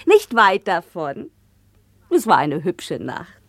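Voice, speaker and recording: A woman's voice: lowish voice, huge pitch swings, slow pace, very noisy, full character voice. An actress playing a teasing, bawdy woman in a radio drama, playful and often laughing as she talks. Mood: teasing, amused. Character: giggly, teasing, bawdy woman reminiscing about lovers. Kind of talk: lively radio drama acting.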